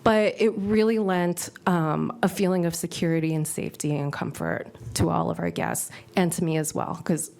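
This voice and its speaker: A woman's voice: steady tone, moderate pace, vocal fry, hardly any pauses, darkish tone. Woman with a scratchy voice from a cold. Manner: upbeat, earnest pitch with frequent ums. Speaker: presenter to city council. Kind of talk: public presentation at a council meeting. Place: city council chamber.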